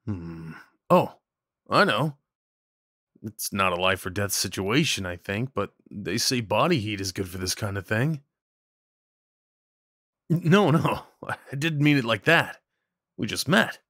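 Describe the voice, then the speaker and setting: A man's voice: fairly low pitch, expressive, a little slow, long pauses, no echo, studio quality, full character voice. Kind, hesitant young-hearted neighbor guy played by a man. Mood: gentle, awkward. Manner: hesitant, gently concerned, conversational. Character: kind, awkward, caring male neighbor comforting someone. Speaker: audio roleplay performer. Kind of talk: ASMR roleplay, soft one-sided dialogue acting.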